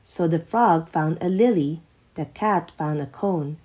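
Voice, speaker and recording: A woman reading a rhyming children's storybook aloud with expressive narration. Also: sits low, measured pace, lo-fi sound. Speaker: storytime reader.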